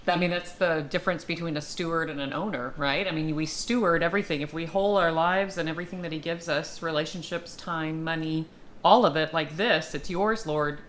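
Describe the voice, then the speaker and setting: A woman's voice: sits low, brisk, slight background noise. A woman co-hosting a casual devotional conversation, speaking in an affirming, conversational way. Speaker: conversation co-host.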